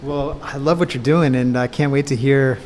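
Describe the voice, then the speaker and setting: A man interviewing a musician in a relaxed, casual way, nodding along with short agreements. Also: medium pitch, normal speed. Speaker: interviewer for an art magazine. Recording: reverberant room with faint background noise.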